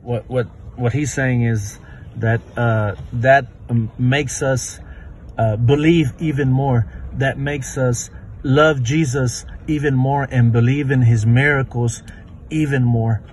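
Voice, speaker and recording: A man works as a live interpreter, moving quickly between two languages with plenty of fillers and restarts. His voice sits at a middle pitch, and a faint background hum runs underneath. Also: fairly even intonation, darkish tone.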